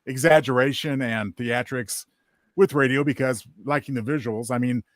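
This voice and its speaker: A man speaks as a panelist in casual conversation. His voice sits at an average pitch, and he phrases things carefully and hesitantly. The recording is dry. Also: normal speed, no room sound. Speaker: panelist on a listening show. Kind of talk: casual chat.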